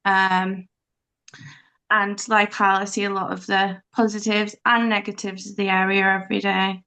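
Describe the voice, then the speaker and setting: Woman candidate, earnest, pauses a lot, flat delivery, mid pitch. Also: clean tone. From Leeds, England. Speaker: council candidate. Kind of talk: speaking at a public meeting.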